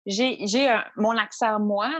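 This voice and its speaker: Woman, Québécois accent, entrepreneur guest, animated, storytelling, interview chat. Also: medium pitch, slow pace, crowd noise. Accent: Québécois French. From Quebec. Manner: lively, animated storytelling, quotes her own inner voice. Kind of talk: interview conversation.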